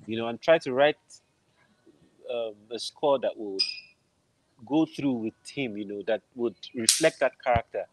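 Nigerian man, halting casual storyteller. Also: mid-range voice, background noise. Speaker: interview guest, film composer. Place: room at home, on a video call. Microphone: wired earphones on a video call.

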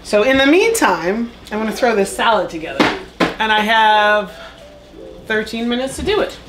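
A woman's voice: on the high side, animated, moderate pace, very noisy. A woman in her thirties chatting casually while cooking dinner in a small home kitchen, upbeat and laughing often, recorded on the camera mic with music and clattering dishes behind her. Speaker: vlogger, mom cooking dinner. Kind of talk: casual cooking vlog chat. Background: music and clattering pots and dishes.

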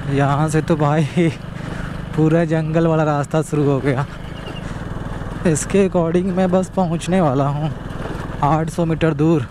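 A young man giving casual, excited running commentary to viewers while riding a motorbike outdoors. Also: on the high side, slow pace, long pauses. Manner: excited, chatty, talks to viewers. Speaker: travel vlogger. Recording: road and traffic noise behind.

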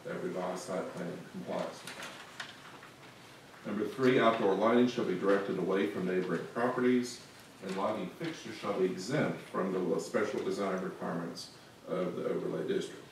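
A male planning staff member with a Southern US accent, reading out conditions in a measured, methodical way at a public meeting. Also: deep voice. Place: large council meeting room. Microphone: room recording from far camera. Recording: echoey room, distant mic, faint noise.

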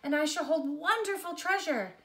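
An earnest little storybook tree, voiced by a woman, full of hope and ambition. The voice is high and proud, making dreamy declarations. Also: normal speed, sharp tone. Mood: excited, proud. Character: hopeful, ambitious little trees, young and earnest. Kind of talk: storybook character reading.

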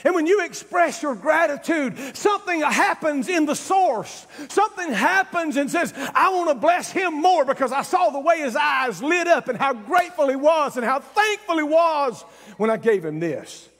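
High-pitched male preacher in a hall. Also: lively intonation, moderate pace, fairly bright tone. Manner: emphatic, exhorting, conversational asides. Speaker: pastor. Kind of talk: sermon. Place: large church stage, indoors. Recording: reverberant hall sound.

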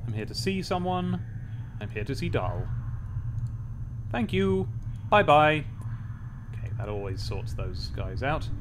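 Animated British man, lightly pitched voice. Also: measured pace, pauses often, slight background noise. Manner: playful, wry, sardonic. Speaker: let's play host. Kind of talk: casual gameplay commentary with dramatic read-aloud.

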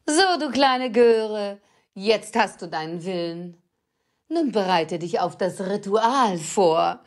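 A woman's voice: medium pitch, animated, takes it slowly. A woman playing a bossy, scheming evil witch in an audio drama, with an imperious theatrical delivery. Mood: irritated, bossy.

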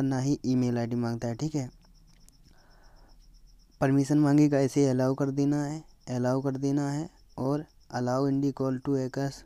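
A young Indian man giving a step-by-step phone app tutorial as a voice-over, halting when he reads English aloud. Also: medium pitch, mellow, smooth, no room sound. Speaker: tutorial host. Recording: slightly dull, lo-fi, dry close recording.

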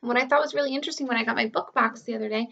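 A woman's voice: on the high side, rapid-fire, creaky, bright, forceful tone, highs cut off. A woman, a teacher casually reading a storybook aloud to children. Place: small furnished room at home, indoors.

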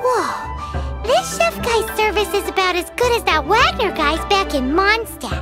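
A woman voicing a tiny, chatty, excitable childlike fairy companion in a video game. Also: unhurried, full character voice. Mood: excited, chatty. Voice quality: squeaky, childlike. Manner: exclamatory, refers to herself in third person. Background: game music.

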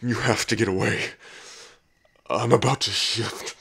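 Man acting a deep, brooding, slow-spoken werewolf. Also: fairly even intonation, vocal fry, fairly bright tone, slightly rough, no room sound, heavily acted. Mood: urgent, tormented. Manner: brooding, guarded, close and intimate. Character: gruff, protective, hot-tempered male werewolf lover. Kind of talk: ASMR boyfriend roleplay audio drama.

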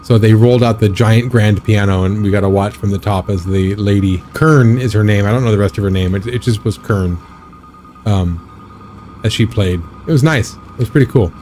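Rambling man streaming a game, slightly raspy. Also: fairly low pitch, normal speed, darkish tone, soft background music. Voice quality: slightly raspy, scratchy throat. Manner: rambling, chatty, reads and answers chat. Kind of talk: casual gameplay live stream chat.

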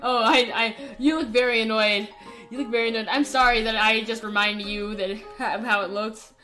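A woman's voice: a little high, steady tone, moderate pace, sharp tone, soft background music. A woman doing casual, playful roleplay commentary over a video game, rambling and stammering as she goes. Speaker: gaming YouTuber.

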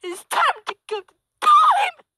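A woman's voice: extremely high pitch, huge pitch swings, unhurried. A woman doing a silly, profane, theatrical amateur comic dub, improvised as she goes. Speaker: amateur voice-over performer. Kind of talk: crude comic dub of a puppet show, improvised. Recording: noisy home recording with clicks.

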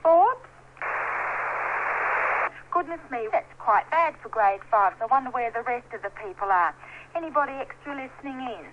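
Through loud noise on a radio link comes a high-pitched woman. She is a brisk schoolteacher addressing her class, with lively intonation. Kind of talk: radio lesson over two-way link. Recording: noisy, dull radio-link recording.

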